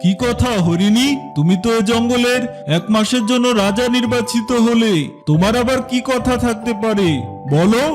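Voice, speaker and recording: Man voicing a high-pitched, stern cartoon lion king. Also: normal speed, music in the background. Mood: questioning. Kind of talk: children's cartoon voice acting.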